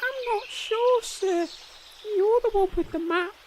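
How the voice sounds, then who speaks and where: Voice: very high voice, takes it slowly, a little hiss or hum. A performer playing a meek, long-suffering young squire in a comedy fantasy sketch, deferential and hesitant while politely contradicting.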